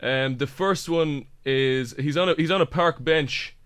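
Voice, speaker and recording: A man acts out a hapless Irish semi-pro photographer making a sales pitch in a prank call. His voice is slightly high and very clear, with a dry, close sound. He rambles earnestly and trips over his own sentences. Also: a little slow, a bit bright, no echo. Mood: earnest, hesitant. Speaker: prank caller.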